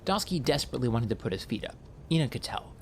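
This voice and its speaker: Male narrator, audiobook, wry storytelling, very animated intonation, creaky edge, faint ambient noise. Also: mid-range voice, fast talker.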